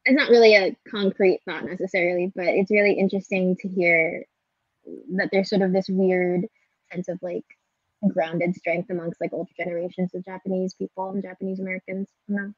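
A woman's voice: fairly low pitch, steady tone, brisk, no room sound. A young woman on a video call from her home kitchen, chatting casually and filling her talk with "like.". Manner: chatty, filler-heavy, with frequent "like". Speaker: voice actor panelist. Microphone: laptop webcam on a video call. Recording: dry, dull lo-fi call audio.

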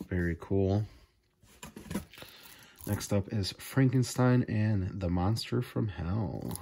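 A man's voice: sits low, warm tone, slight background noise. A man hosting a casual unboxing vlog, enthusiastic and full of small fillers as he goes through his purchases. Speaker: video host. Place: indoors at a table, off camera.

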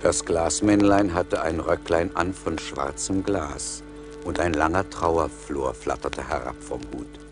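A man's voice: a bass voice, takes it slowly, over music. A man narrating a scripted fairy tale in a radio play.

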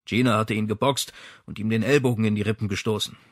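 A man's voice: fairly low pitch, fairly even intonation, fast, no room sound, studio quality. A man reading a crime novel as an audiobook narrator in scripted narration.

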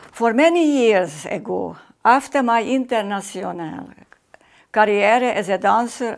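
Slow older woman with a Hungarian accent. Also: mid-range voice, gravelly. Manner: slow, deliberate, halting English. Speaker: competition artistic director. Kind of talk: formal video welcome address. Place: indoor room with painting behind.

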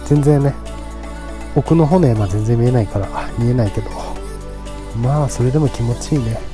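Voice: mid-range voice, normal speed. Spontaneous motorcycle vlogger with music behind. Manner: spontaneous, exclamatory, chatting to viewers. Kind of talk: casual motovlog commentary while riding. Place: outdoors, riding a motorcycle on mountain roads. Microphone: helmet mic.

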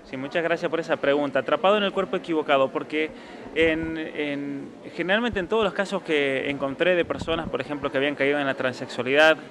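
Young male, Argentine accent blurred by years abroad, slightly high voice, very quick delivery, earnest interviewee. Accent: Argentine, softened by years abroad. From San Juan, Argentina. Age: young adult. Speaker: author being interviewed. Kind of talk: interview answers.

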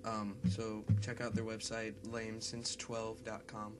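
A congested young man with a cold introduces his song demo in a quiet, mumbled, quick casual way, talking into a webcam in a small bedroom. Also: on the low side, monotone, noisy background. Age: young adult. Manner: quiet, mumbled, fast and filler-heavy talk. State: congested with a cold and sore throat. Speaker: singer-songwriter. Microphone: webcam close up. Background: acoustic guitar.

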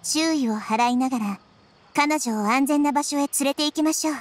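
A woman voicing a small childlike goddess in an anime game, composed, polite and gentle. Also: sits high, normal speed, natural voice. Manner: polite, composed, softly reassuring. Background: game music.

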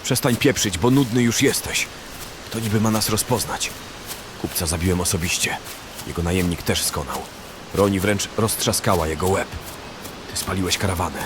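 A man's voice: low voice, moderate pace, natural voice. Man playing brash, coarse bandit, noisy ambience. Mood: irritated. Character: brash, callous, coarse male bandit who loves food. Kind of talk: fantasy radio drama acting. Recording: clearly noisy background ambience.

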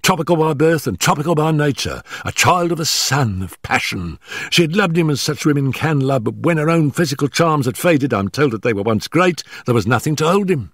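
A man reading an audiobook, voicing a nervous male estate manager who stammers and talks in hurried, breathless bursts. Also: fairly high pitch, lively intonation, a bit bright, dry recording, studio quality, voice pushed up. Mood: bitter, pleading.

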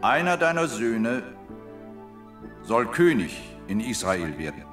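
An actor playing a solemn, authoritative messenger of God in a Bible audio drama, firm and declarative. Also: male voice, mid-range voice, sing-song, takes it slowly, fairly bright tone, soft background music.

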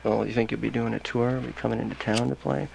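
A man hosting a casual radio interview as the DJ, low-key and unhurried, in a studio. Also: fairly even intonation, vocal fry, darkish tone. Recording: noisy old radio aircheck.

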